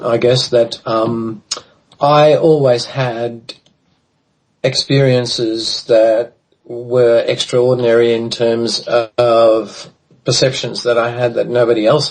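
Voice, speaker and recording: A man in his fifties, raised in Australia and the Netherlands, telling his background slowly in a remote interview, with many pauses and fillers. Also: medium pitch, darkish tone, husky, low-quality recording. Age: 50s. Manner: hesitant, many ums and restarts. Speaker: interview guest. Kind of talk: casual recorded interview.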